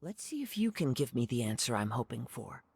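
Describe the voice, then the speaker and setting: A man playing a calm, reflective young wandering samurai in a video game, speaking in measured, formal, poetic phrases. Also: medium pitch, vocal fry, husky, exaggerated voice. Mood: calm, thoughtful. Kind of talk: video game story dialogue and narration. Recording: music behind the voice.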